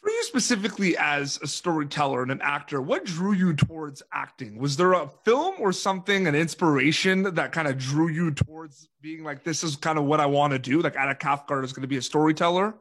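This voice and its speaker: A man's voice: a little high, lively intonation, brisk, hardly any pauses, a bit bright. A man from Canada interviewing an actress, asking friendly, rambling questions full of "kind of" and "like".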